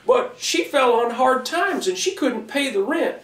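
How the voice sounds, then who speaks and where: A man's voice: very high voice, expressive, a little slow. A man voicing a chatty ventriloquist puppet who tells stories to children in an exaggerated, singsong puppet-show delivery. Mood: eager storytelling. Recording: roomy, distant mic, faint noise.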